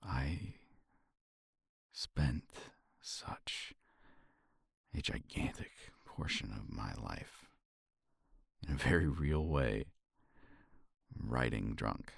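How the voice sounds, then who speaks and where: A man speaking softly and reflectively in a romantic ASMR roleplay, sighing often and sometimes dropping to a whisper, close to the mic. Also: rumbling low, animated, very slow, creaky, long pauses, slightly rough, dry recording. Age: older. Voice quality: soft, intimate, drifts into whispers.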